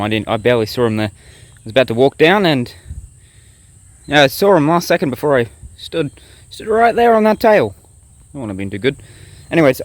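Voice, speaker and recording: A young man talking casually to viewers while filming himself outdoors in the bush, rambling and relaxed, with insects chirping behind him. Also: mid-range voice, no room sound. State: sick, blocked nose and sore throat. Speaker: bushcraft vlogger.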